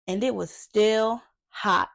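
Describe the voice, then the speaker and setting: A woman's voice: medium pitch, slow pace, gravelly. A woman hosting a read-aloud for young children, reading a picture book and talking to the listeners with questions and little asides.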